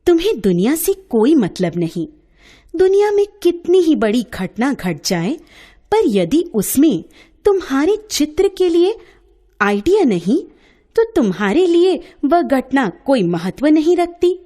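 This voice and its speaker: Woman as an earnest young social worker, high voice, swooping pitch, reproachful, grumbling, storybook character. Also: sing-song, measured pace, dark tone. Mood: indignant.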